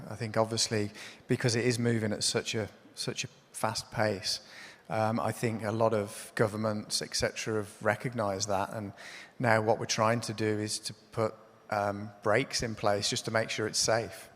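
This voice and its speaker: A man moderating a conference panel, relaxed and explanatory as he steers the discussion. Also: lowish voice, normal speed. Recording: reverberant conference hall.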